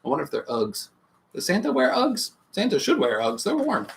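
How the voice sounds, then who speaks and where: A bedtime-story host reads for children with very lively, expressive pitch. The delivery is playful, moving between storybook narration and casual asides. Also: brisk, gravelly. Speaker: host reading bedtime stories.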